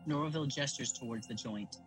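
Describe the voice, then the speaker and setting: A man's voice: medium pitch, brisk, lightly acted, poor audio. A man reading stage directions as the narrator of an audio drama, in a scripted narration style. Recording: music under narration.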